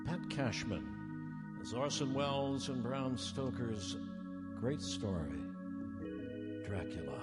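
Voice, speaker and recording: A man's voice: mid-range voice, lively intonation, slow, pauses often. A man playing a grand 1930s radio announcer with dramatic, declamatory emphasis. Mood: grand, proclaiming. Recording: echoey town hall stage. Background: faint live music.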